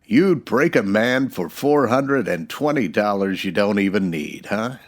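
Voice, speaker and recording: A decent western lawman, lowish voice, plain folksy talk, old radio show style. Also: lively intonation, unhurried. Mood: grave, disapproving. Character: steady, decent Old West US marshal.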